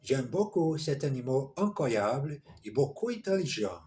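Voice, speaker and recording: A man's voice: mid-range voice, big pitch swings, a little slow, darkish tone. A man practicing French on a phone camera, repeating and correcting himself as he reads dialogue lines aloud. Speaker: language learner. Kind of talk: casual language practice vlog.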